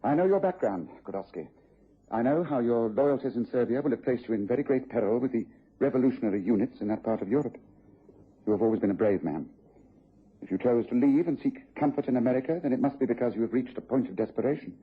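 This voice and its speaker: An actor playing a precise, coolly analytical English gentleman detective in a radio drama, with a Received Pronunciation accent. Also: mid-range voice, animated, fast, slightly rough. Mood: serious. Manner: precise, incisive, formal. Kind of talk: BBC radio drama acting. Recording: muffled tinny old radio recording.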